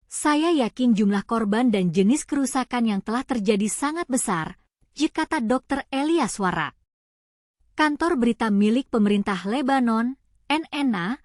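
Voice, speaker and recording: A woman's voice: on the high side, dry recording, clean studio sound. A woman narrating scripted news reports as a news narrator.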